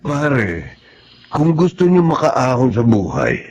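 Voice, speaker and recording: An encouraging working-class Filipino buddy talks slowly in a husky voice. His radio drama delivery is frank, with lively intonation. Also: medium pitch, lo-fi sound, full character voice. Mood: frank advice. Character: helpful, advising working-class buddy of the husband.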